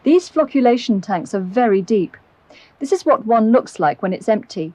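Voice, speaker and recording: A British woman reading scripted narration for an educational film, explaining in a clear, measured way. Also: on the high side, sing-song, mellow, dry recording. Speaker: documentary narrator. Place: close, dry voice-over recording.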